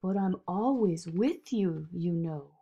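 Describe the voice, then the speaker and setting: A woman's voice: lowish voice, lively intonation, takes it slowly, mellow, dull audio, a little hiss or hum. A woman voicing a small, nagging inner voice of doubt in a picture-book read-aloud, needling and discouraging.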